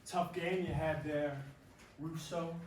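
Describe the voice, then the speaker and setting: Echoing and a bit distant, a man plays a taunting, needling ballplayer in a dreamlike stage drama. His voice sits slightly high. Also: fairly even intonation, slow. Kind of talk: staged play reading. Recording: echoey hall, distant mic, faint noise.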